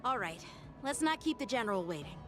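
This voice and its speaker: Earnest young officer over music. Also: female voice, fairly high pitch, big pitch swings, fairly quick. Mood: polite.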